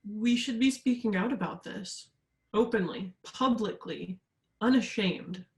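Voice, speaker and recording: A woman playing an earnest, vulnerable young woman haunted by grief in a staged reading, speaking in an urgent, halting way. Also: mid-range voice, darkish tone, lightly acted. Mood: insistent.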